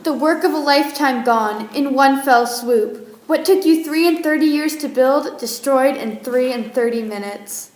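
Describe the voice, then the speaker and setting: Radio-play sidekick: urgent young male aide, voiced by a woman, high pitch, plaintive, roomy sound with music. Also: measured pace. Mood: despairing. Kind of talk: stage-style radio play acting. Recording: echoey room, music behind.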